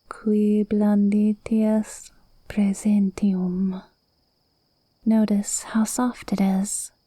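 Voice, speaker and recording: A woman voicing a cute witch in an ASMR roleplay, softly whispering a hypnotic spell in a soothing, breathy way, close to the mic. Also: medium pitch, dry recording. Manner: slow, soothing, hypnotic, drawn-out phrasing. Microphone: close microphone, dry.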